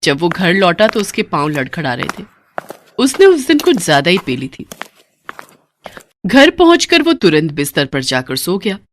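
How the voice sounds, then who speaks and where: A woman's voice: lowish voice, big pitch swings, normal speed, a little hiss or hum. A woman narrating a story in a dramatic, scripted storytelling style.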